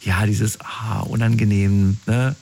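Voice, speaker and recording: A man with a fairly low voice acts as interviewer in a casual conversation. He speaks informally and often tacks short checking questions onto what he says. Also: level tone, takes it slowly, dark tone. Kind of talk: conversational interview about personal experiences.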